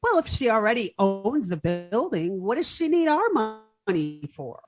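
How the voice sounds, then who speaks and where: A woman's voice: medium pitch, big pitch swings, normal speed, darkish tone, soft background music, lightly acted. A woman playing a naive, dim-witted but earnest young woman in an old-time radio comedy, guileless and sing-song. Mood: puzzled, earnest.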